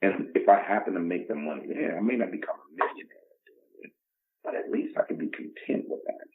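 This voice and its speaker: A man's voice: low-pitched, expressive, a little fast, slight background noise. A man, talking casually and motivationally as a podcast guest, emphatic and given to acting out dialogue. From the Bronx, New York, United States. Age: 50s. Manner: emphatic, acts out imagined dialogue. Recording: dull, muffled lo-fi recording.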